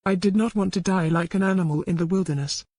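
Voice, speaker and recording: A woman's voice: fairly low pitch, fast talker, darkish tone, dry recording, studio quality, natural voice. Woman playing a world-weary old servant woman. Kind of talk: dramatic audiobook character reading.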